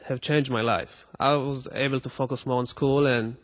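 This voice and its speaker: A man's voice: medium pitch, steady tone, normal speed, in a large hall. A young man from Israel with an Israeli accent, a native Hebrew speaker, giving a short earnest closing speech. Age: young adult. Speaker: former student body president.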